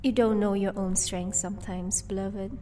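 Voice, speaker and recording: A woman playing a gentle, teasing vampire wife in an audio roleplay. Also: mid-range voice, fairly even intonation, moderate pace, recorded from a distance, slight background noise, lightly acted. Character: sweet, playful female vampire, doting wife.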